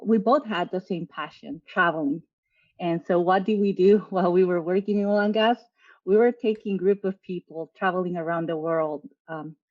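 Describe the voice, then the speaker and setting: Woman from Peru, lo-fi call audio. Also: on the low side. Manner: relaxed storytelling with frequent fillers. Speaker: guest, travel agency owner. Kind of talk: casual interview talk.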